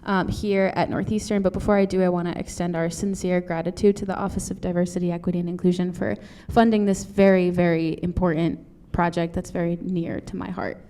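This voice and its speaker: A soft-spoken young woman from Brazil, a doctoral student giving an earnest presentation into a microphone in a lecture room. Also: lowish voice, level tone, fairly quick, hardly any pauses. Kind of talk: conference presentation. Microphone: handheld or podium mic.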